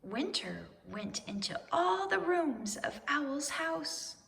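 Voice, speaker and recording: A woman reading a children's story aloud in expressive narration, with deliberate, dramatic phrasing. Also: high-pitched, vocal fry, in a large hall. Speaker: storybook reader.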